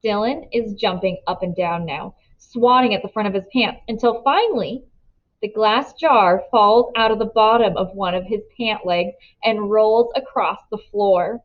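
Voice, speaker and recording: A woman narrating a children's novel aloud in an expressive storytelling style. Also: mid-range voice, measured pace, lo-fi sound.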